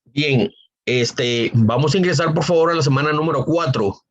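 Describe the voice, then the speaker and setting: A man teaching a university statistics class online, hoarse from a cold, speaking unhurriedly with frequent fillers and repeated phrases. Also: on the high side, moderate pace, highs cut off. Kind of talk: online lecture.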